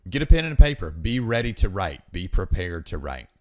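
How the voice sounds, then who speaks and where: A man's voice: low voice, very animated, brisk, a little mellow. A man hosting a podcast, talking casually and instructively, emphatically repeating his key points. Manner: emphatic, repeats key points, acts out example phone calls. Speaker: podcast host giving hiring advice.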